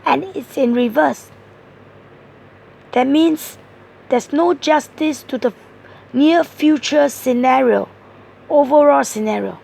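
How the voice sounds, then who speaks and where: A hoarse woman giving a tarot card reading, explaining each card point by point in an earnest way. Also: sits high, slow pace, long pauses, a little mellow. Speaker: tarot reader.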